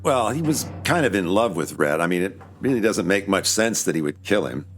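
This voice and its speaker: An actor playing a laid-back, wry American lawyer and amateur sleuth in an audio drama, talking in a casual, understated way. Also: male voice, deep voice, animated, brisk, a little hiss or hum. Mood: thoughtful, skeptical. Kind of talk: audio drama dialogue and narration.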